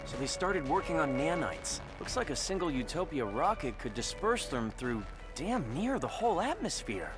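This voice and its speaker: An actor playing a friendly, world-weary survivor in a video game, talking in an informal, wry way. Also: male voice, on the high side, big pitch swings, normal speed, music in the background. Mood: wry explaining. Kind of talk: conversational game voice acting.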